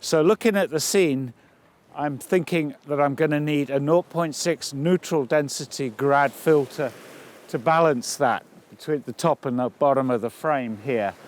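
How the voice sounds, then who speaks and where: A man with a voice a little higher than average presents a practical lesson out on location. He speaks without a script, thinking aloud as he demonstrates each step. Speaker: photography presenter. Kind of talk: instructional talk to camera, unscripted. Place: outdoors on location.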